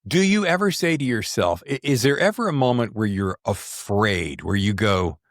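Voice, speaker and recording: Male interviewer, conversational and discursive, very expressive intonation, recorded dry. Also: on the low side, moderate pace, dry recording. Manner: chatty, rambling, self-interrupting. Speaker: podcast host.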